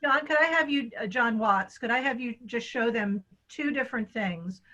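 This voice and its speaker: A woman's voice: a little high, moderate pace, bright, forceful tone, lo-fi sound. A woman chatting and explaining in a casual way as she hosts a theatre discussion over a video call. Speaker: radio theatre director hosting a post-show talk. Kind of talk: casual panel talk. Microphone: video call microphone.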